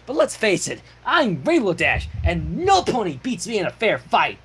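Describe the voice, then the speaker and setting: A man's voice: sits high, huge pitch swings, normal speed, a bit bright, slight background noise, full character voice. A man voicing a cocky, boastful young female flying pony with a taunting swagger.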